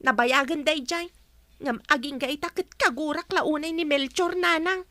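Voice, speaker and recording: A woman's voice: sits high, normal speed, bright, forceful tone, no room sound. A woman playing an indignant, grudge-holding young elder sister, complaining sharply with an Ilocano accent in a radio drama. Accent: Ilocano, northern Philippines.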